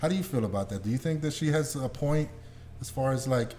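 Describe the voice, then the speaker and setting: A man's voice: medium pitch, animated, fast, mellow, slight background noise. A man hosting a podcast in casual, informal chat, with slangy talk and some swearing. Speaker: podcast host.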